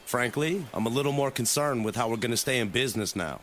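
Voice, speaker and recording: An actor playing an exasperated, hot-tempered, sarcastic boat business owner in an audio drama. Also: male voice, medium pitch, fast, slight background noise, close to an everyday voice. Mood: resigned, worried. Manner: animated, exclaiming, sarcastic.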